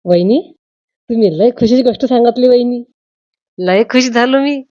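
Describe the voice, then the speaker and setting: A woman's voice: on the high side, moderate pace, dark tone, close to an everyday voice. Woman doing happy eager young guy, cartoon, bit muffled, no echo. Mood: delighted. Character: Pappu, eager, delighted young brother-in-law. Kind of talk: homemade comedy cartoon dialogue.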